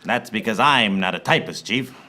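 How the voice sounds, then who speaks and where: An actor playing a wry, bumbling private eye in a noir comedy radio serial, deadpan and given to tongue-twisting alliteration. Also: male voice, lowish voice, moderate pace. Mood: dry. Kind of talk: film noir comedy radio serial acting, narrating and in dialogue. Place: theater stage, performed live. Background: music.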